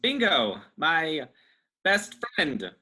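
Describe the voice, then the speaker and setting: Woman doing a low, deadpan male bird. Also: extremely slow, exaggerated voice. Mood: stilted. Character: exasperated, deadpan male bird at radio station. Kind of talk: comedy radio table read.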